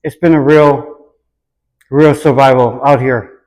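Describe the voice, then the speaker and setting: A man from Hawaii, answering interview questions in an earnest, reflective and deliberate manner. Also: mid-range voice, fairly even intonation, a little mellow, rough recording. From Hawaii, United States.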